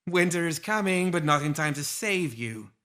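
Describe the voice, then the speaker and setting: A man plays a female demon leader from a dark fantasy tale. The voice is lifted high and has a forceful edge. Threats are drawn out with seductive, vengeful relish. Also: lively intonation, moderate pace. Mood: seductive menace. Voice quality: soft, seductive.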